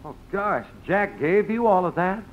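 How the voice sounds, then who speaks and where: A man's voice: sits high, expressive, measured pace, muffled recording. A man delivering dialogue as a cast member of a 1950s radio comedy, on an old recording.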